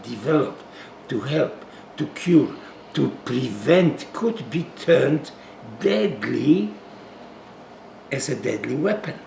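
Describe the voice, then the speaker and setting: An older man from Poland with a Polish accent, a physician guest explaining his concerns in an earnest, halting way with many fillers, recorded in a home living room. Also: medium pitch, vocal fry, roomy sound, a little hiss or hum. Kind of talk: sit-down interview talk.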